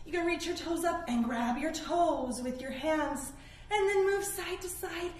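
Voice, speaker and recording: A woman teaching yoga to young children on camera in a playful, encouraging, animated way. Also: very high-pitched, unhurried. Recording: echoey room, distant microphone.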